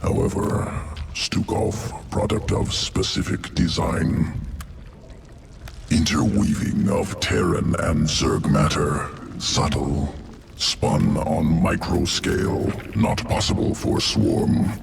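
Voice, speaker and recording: An actor playing a cold alien geneticist in a video game, speaking in clipped, detached fragments with a gravelly voice. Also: male voice, animated, takes it slowly, a little hiss or hum, exaggerated voice. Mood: clinical fascination. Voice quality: deep, gravelly. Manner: clipped, detached, terse fragments without articles. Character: cold clinical alien creature, master of genetic engineering. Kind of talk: science fiction video game acting. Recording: music behind.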